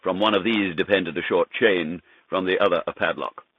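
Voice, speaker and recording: A narrow, radio-like band frames a man telling a gothic tale. His voice is low and husky, with a theatrical, deliberate delivery. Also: no room sound. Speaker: narrator. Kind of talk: dramatic gothic story narration. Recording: thin band-limited radio-like sound, dry.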